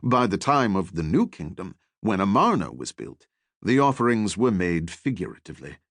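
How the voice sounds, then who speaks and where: A man's voice: sits low, very animated, moderate pace, dry recording, clean studio sound, full character voice. A man voicing an eager elderly archaeology professor, courteous and scholarly, who lectures with animation in an audiobook.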